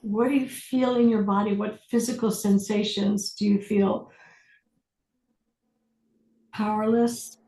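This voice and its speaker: A woman facilitating an online group session, guiding people through questions in a calm, slow, deliberate way. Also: medium pitch, echoey room, low-quality recording. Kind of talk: guided inquiry on a group video call.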